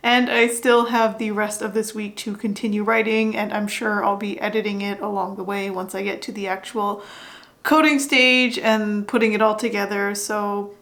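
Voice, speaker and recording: A woman's voice: mid-range voice, little pitch movement, moderate pace, fairly bright tone. A woman vlogger talking casually to camera, musing and thinking aloud about her writing. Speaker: vlogger and writer. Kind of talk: casual vlog chat to camera.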